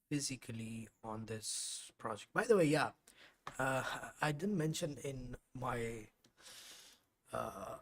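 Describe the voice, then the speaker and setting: A man who grew up speaking Urdu, chatting casually through a live coding stream. He rambles a little and fills pauses with "uh" as he works and explains to his viewers. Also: mid-range voice, fairly bright tone, a little hiss or hum. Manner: chatty and rambling, with frequent fillers and self-corrections.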